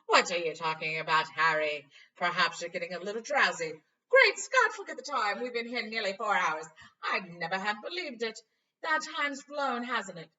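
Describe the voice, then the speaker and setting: A woman's voice: medium pitch, sing-song, normal speed, far from the mic, dull audio, pitched above the performer's own voice. A woman doing a boastful vain wizard teacher. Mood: gushing. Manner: loud, gushing, theatrical.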